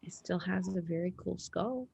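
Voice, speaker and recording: Husky, low-voiced teenage girl, acted by a woman. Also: normal speed, warm tone. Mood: gentle. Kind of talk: screenplay table read.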